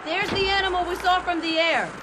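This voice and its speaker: An actress playing an outspoken, skeptical physician at a mining colony in a radio drama, with a blunt, argumentative manner. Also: female voice, extremely high pitch, steady tone, fairly quick. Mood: tense, analytical. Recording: noisy ambience behind the voice.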